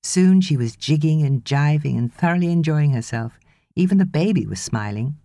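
A woman with a British accent reading fiction as an expressive audiobook narrator. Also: deep voice, moderate pace, no room sound, clean studio sound.

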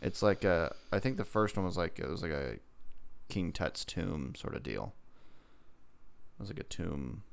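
A man hosting a podcast in casual, joking banter, teasing in a dry, deadpan way. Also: deep voice, moderate pace, vocal fry. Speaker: podcast host.

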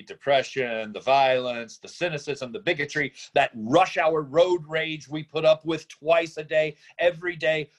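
A man plays a middle-aged father who left his family, idealistic and disillusioned. He speaks deliberately and broodingly, as in a dramatic table read, with a philosophical bitterness underneath. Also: sits high. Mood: bitter, resigned. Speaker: actor in a screenplay reading.

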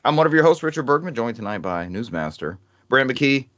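A man's voice: mid-range voice, sing-song, a little fast, fairly bright tone, no echo, muffled recording. A man hosting a gaming podcast with casual, joking banter and some swearing. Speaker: podcast host.

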